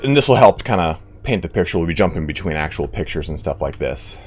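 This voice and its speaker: Hoarse, deep-voiced male game streamer; loose, rambling history talk, swears freely; soft music under. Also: animated, fast talker. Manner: rambling, profane, reads donations aloud.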